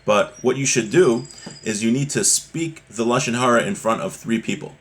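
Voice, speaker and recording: Man, religious teacher, mid-pitched voice, conversational text-study lesson, explanatory, rhetorical questions. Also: normal speed, slight background noise.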